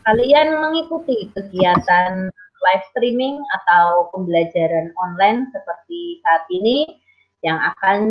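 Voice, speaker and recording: A woman teacher moderates an online class. She speaks very slowly and formally. The video-call audio is dull. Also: mid-range voice. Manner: slow, formal and deliberate. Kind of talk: formal opening of an online lesson.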